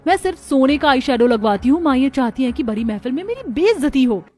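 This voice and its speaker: A woman's voice: sits high, very animated, fast talker, mellow. A woman voicing a spoiled, haughty young sister-in-law in a cartoon story, whining and indignant. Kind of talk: cartoon moral story acting.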